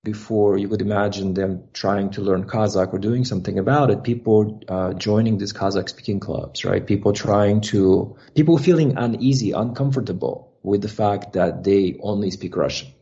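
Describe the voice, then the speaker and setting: A man's voice: on the low side, fairly even intonation, normal speed, hardly any pauses, dark tone, dull audio. A man speaking as a guest analyst on a policy panel, thoughtful and reflective, often checking in with "right?". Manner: thoughtful, ends points with "right?". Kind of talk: expert panel discussion.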